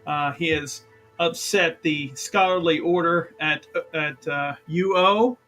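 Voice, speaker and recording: A man's voice: fairly high pitch, takes it slowly, bright, forceful tone, soft background music, rough recording. A man playing a tabletop game, casually introducing his character with a theatrical build-up and stumbling repetitions.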